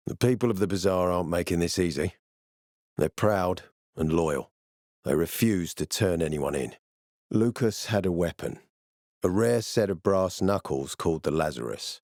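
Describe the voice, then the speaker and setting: A male actor playing a stern, ambitious military commander in a video game, speaking in a measured, authoritative and formal way. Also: low-pitched, animated, a little mellow, no echo, studio quality. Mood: grave. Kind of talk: video game dialogue acting.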